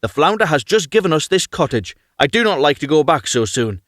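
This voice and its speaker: A man's voice: a little high, sing-song, a little fast, fairly bright tone, dry recording, clean studio sound. A man voicing a meek, reluctant husband in a storybook tale, pleading and hesitant.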